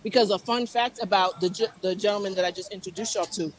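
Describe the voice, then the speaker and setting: A woman's voice: mid-range voice, fairly even intonation, fast, poor audio. A woman from New Jersey co-hosting a podcast, talking casually and repeating words for emphasis. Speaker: podcast co-host. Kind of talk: casual chat.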